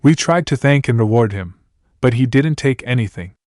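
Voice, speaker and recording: A man voicing a grown village mother who tells a memory in a soft, calm way. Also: mid-range voice, moderate pace, no room sound, studio quality, natural voice.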